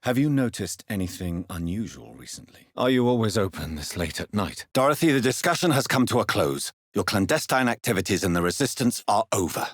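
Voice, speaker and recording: A grave British gentleman vampire doctor for a video game. His voice is very deep and markedly husky, with expressive rises and falls. He speaks in formal, measured period phrases, courteous and earnest. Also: dry recording, clean studio sound. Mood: stern, intense. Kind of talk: dramatic game dialogue, formal period speech.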